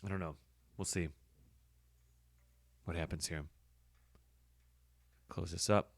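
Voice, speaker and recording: A man with a hoarse voice, casually talking through his editing work as a podcast host, thinking aloud with fillers. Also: deep voice, steady tone, takes it slowly, no room sound. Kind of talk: casual screen-recording commentary.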